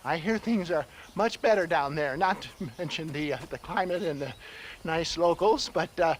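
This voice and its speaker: A man's voice: fairly high pitch, normal speed, a little hiss or hum, lightly acted. An actor playing a shifty, cowardly old snake-oil salesman in a video game, with a pompous, wheedling, hemming-and-hawing manner. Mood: evasive, flustered. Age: older. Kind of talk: dramatic video game cutscene acting.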